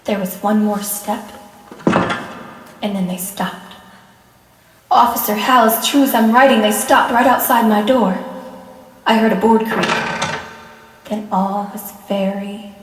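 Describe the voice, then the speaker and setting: A woman playing a terrified, fragile wife in an old-time radio thriller, speaking anxiously and haltingly with dramatic dread. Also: mid-range voice, close to an everyday voice. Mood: hushed dread. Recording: echoey hall, distant mic, background noise.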